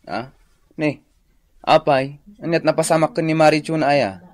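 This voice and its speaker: A man's voice: fairly high pitch, unhurried, close to an everyday voice. A man playing a concerned, inquisitive friend in an Ilocano radio comedy drama, probing with questions in an Ilocano accent. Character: concerned male buddy, inquisitive and sympathetic.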